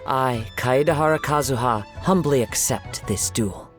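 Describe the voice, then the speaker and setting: A man playing a gentle, poetic young swordsman in a video game, speaking in a courteous, measured, formal way. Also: mid-range voice, natural voice. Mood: solemn, poetic. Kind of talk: video game character acting. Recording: music behind.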